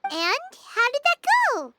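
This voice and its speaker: A woman voicing a tiny, childlike, cheeky companion in a video game, with a squeaky, perky, blunt delivery. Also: wildly swooping pitch, takes it slowly, very noisy, exaggerated voice. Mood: curious, perky.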